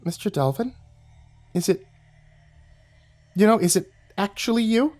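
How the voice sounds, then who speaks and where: A man's voice: high voice, expressive, a little slow, darkish tone, faint music behind, voice pushed up. A man doing a nervous but bold schoolgirl. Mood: suspicious. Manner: hesitant, then hissing threats.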